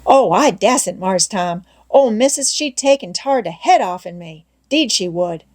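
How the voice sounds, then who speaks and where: A woman's voice: medium pitch, animated, measured pace, darkish tone. A woman voicing a timid, hesitant young servant boy from a storybook, speaking an old American South dialect in a reluctant, fearful manner.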